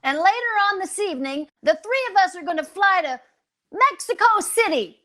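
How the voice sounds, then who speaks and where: An actress playing a scheming, teasing American housewife in a theatrical 1940s radio sitcom style. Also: female voice, very high voice, lively intonation, normal speed, pushed and bright, exaggerated voice. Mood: teasing, bold.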